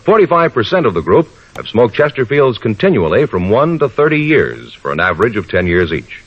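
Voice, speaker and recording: A man's voice: fairly low pitch, wildly swooping pitch, breathy edge. A male announcer with an American accent reads a formal, scripted 1950s radio advertisement. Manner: formal, measured phrasing. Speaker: commercial announcer. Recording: dull old radio recording.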